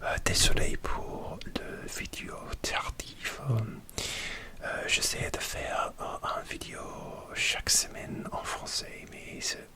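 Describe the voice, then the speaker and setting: A man whispering a casual ASMR chat, halting and hesitant, often pausing to search for words. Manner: halting, hesitant, many fillers and restarts; slips in grammar, searches for words. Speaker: ASMR creator.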